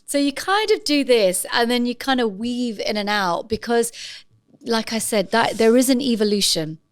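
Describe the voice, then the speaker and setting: A woman's voice: a little high, expressive, moderate pace, clean tone, dry recording, clean studio sound. A woman hosting a podcast interview, enthusiastic and affirming toward her guest. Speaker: podcast host.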